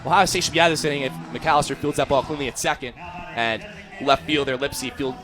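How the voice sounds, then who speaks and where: A man's voice: medium pitch, fairly even intonation, quick pace. An American man working as a color commentator on a live baseball broadcast, giving emphatic, opinionated analysis.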